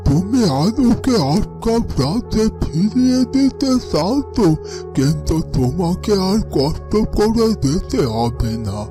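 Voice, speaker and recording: Slow, deliberate fairytale guardian. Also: male voice, high voice, very animated, over music, heavily acted. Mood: calm, portentous. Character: calm, knowing male protector of a magical hideout. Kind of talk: melodramatic Bengali fairytale cartoon acting.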